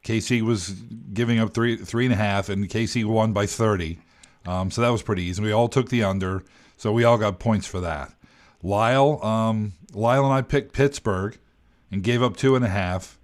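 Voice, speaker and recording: A man's voice: lowish voice, moderate pace. A man in his forties co-hosting a podcast, matter-of-fact and ribbing in a casual sports chat. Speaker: podcast co-host.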